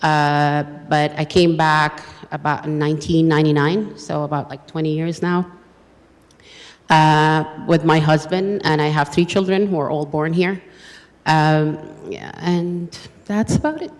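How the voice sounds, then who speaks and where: Woman from sudan, arabic native, low voice, handheld mic in a hall. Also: fairly even intonation, measured pace. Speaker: community health worker, panelist. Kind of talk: self-introduction. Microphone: handheld microphone. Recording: hall reverb.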